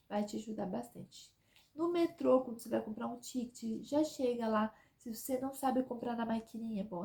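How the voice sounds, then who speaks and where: A woman's voice: high voice, vocal fry, a little mellow. A woman giving chatty advice to her viewers in a casual vlog, often checking in with "tá?" and "né?". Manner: chatty, repeats "tá?" and "né?". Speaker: vlogger living abroad.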